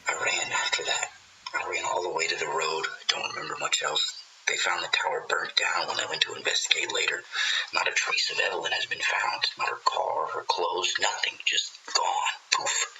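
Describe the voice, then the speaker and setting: A man playing a haunted fire lookout in a radio horror drama, recounting events in a halting, breathy, confessional monologue. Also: little pitch movement, rough recording. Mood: hollow, grim. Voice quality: low, gravelly, breathy. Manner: halting, breathy, half-whispered. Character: haunted male fire lookout recounting his wife's eerie death.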